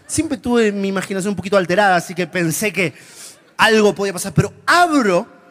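Animated Argentine preacher, high voice. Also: male voice, a little fast. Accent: Argentine Spanish. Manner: humorous, animated storytelling, shifts to whispers. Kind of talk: sermon with personal stories. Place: church before a live congregation.

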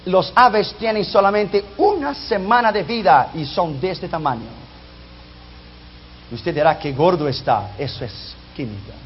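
Male preacher, non-native speaker, high voice, emphatic delivery; lo-fi, muffled recording. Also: animated, a little slow, slight background noise. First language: not Spanish. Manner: emphatic, drops low and soft on key lines. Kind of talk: sermon on prophecy. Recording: dull lo-fi recording.

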